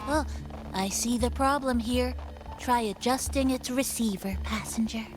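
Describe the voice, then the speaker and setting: Game role: knowing, teasing female guide woman actor, fairly high voice, very slow leisurely delivery. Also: music in the background, close to an everyday voice, poor audio. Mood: playful, curious. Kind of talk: scripted video game dialogue.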